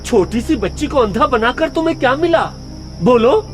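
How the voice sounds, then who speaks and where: Worried young dad played by a man, high, very animated voice, emphatic pleading, horror cartoon. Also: normal speed, music in the background. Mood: indignant. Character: worried protective young father confronting a ghost. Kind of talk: horror cartoon story acting.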